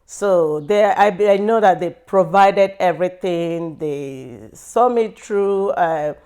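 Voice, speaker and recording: A middle-aged woman from Nigeria with a Nigerian accent, speaking slowly and thoughtfully in an interview about her life, with frequent pauses and fillers. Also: on the low side, warm tone, smooth. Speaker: interview guest. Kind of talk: reflective personal interview.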